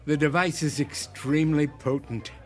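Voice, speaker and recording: An actor playing a sly, mysterious older gentleman in a radio drama, speaking in a theatrical, savoring, formal manner. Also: a little high, steady tone, moderate pace, natural voice. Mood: sly, knowing. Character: sly, courtly, mysterious older man lending a time device. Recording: music under the voice.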